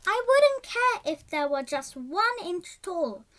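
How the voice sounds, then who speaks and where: Child reader, high voice, slow pace, lively swoops in pitch, halting storybook read-aloud with stumbles and repeats. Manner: halting, stumbles over words and repeats them.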